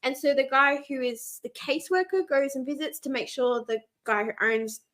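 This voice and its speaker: A woman's voice: sits high, moderate pace, a bit bright. A woman. She co-hosts a casual chat, rambling through her thoughts in an informal, conversational way. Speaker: podcast co-host. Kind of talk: casual chat about books.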